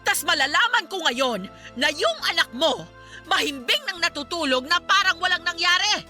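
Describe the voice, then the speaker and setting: Angry protective filipino mom in a radio drama, super high piercing voice, music behind. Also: female voice, animated, normal speed, exaggerated voice. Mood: accusing, upset. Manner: forceful, accusing, indignant. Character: angry, protective middle-aged mother confronting her friend.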